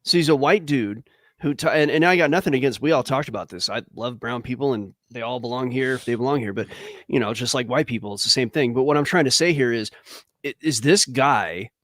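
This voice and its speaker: A man's voice: medium pitch, brisk, fairly bright tone, no room sound. A man arguing bluntly on a podcast, skeptical and contrarian, swearing casually. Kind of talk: heated podcast debate.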